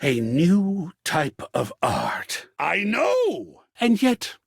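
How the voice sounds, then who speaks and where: A man's voice: sits high, huge pitch swings, drawn-out words, vocal fry, breathy edge, no echo, studio quality, full character voice. A male actor voicing a flamboyant, melodramatic, lovestruck living work of art in a video game, with florid, grandiose, theatrical delivery. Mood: swooning, amorous. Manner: florid, grandiose, swooning, dramatic swings between extremes.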